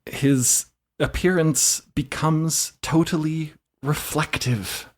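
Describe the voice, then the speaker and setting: A man's voice: on the high side, steady tone, slow. A man playing a tabletop roleplaying game, improvising the story aloud with the others in a conversational way.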